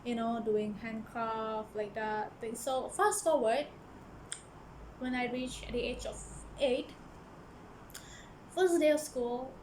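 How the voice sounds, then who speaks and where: A young Malaysian woman shares a personal story in a casual vlog, her voice sitting a little high. She speaks earnestly and hesitantly, often stopping to restart a sentence. Clear background noise runs under her voice. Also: steady tone, slow pace, pauses often. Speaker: book vlogger. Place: church office.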